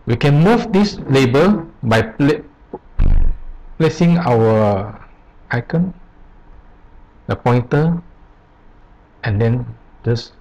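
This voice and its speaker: Man, software screencast presenter, husky voice, unhurried halting delivery, short step-by-step phrases. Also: mid-range voice, rough recording. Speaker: tutorial presenter. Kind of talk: unscripted software screencast tutorial. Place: voice-over on a screen recording.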